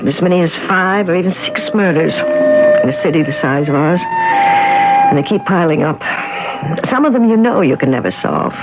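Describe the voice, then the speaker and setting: A woman's voice: on the low side, wildly swooping pitch, normal speed, long pauses, over loud music. An actress playing a determined, probing police detective in a radio mystery drama, firm and insistent in her questions. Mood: sombre reflection. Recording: dull, noisy vintage radio broadcast.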